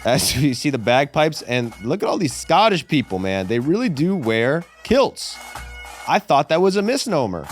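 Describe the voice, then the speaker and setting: A young man hosting a casual sports podcast, animated and profane, calling people "brother" and "dude". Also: fairly high pitch, moderate pace, faint music behind. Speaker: podcast host.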